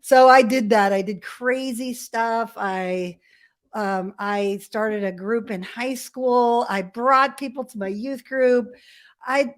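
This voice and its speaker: A middle-aged woman from Ethiopia, telling personal stories as an interview guest in a candid, animated way with self-deprecating humour. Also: fairly high pitch. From grew up in Ethiopia as a missionary kid. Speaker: podcast guest. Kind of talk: conversational personal storytelling.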